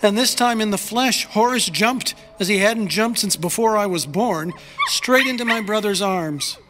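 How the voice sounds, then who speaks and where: An actor voicing a wistful storyteller who recalls childhood in measured, lyrical phrases for an audio drama. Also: medium pitch, a bit bright, slight background noise, natural voice. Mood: excited wonder. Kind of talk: scripted dramatic narration. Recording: long hall reverb, music behind.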